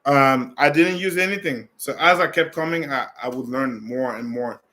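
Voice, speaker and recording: A man's voice: medium pitch, normal speed, pushed and bright. Man chatting casually. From Canada. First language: French. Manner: loose and conversational, with frequent restarts. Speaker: vlogger. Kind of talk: casual chat answering viewer questions.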